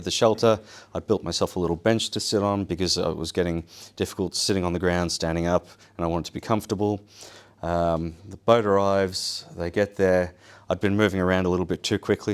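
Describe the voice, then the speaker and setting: Very low-pitched man telling informal stories. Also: clear, resonant tone. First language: Polish. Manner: casual, self-deprecating, wry. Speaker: guest speaker, survival show winner. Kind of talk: informal first-person storytelling to an audience.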